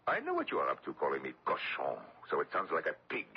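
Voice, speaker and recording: An actor playing a sarcastic, commanding French collaborationist officer who menaces prisoners in a radio drama. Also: male voice, rumbling low, wildly swooping pitch, fast, husky, exaggerated voice. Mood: sarcastic, menacing. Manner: commanding, sneering. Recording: dull lo-fi old radio recording.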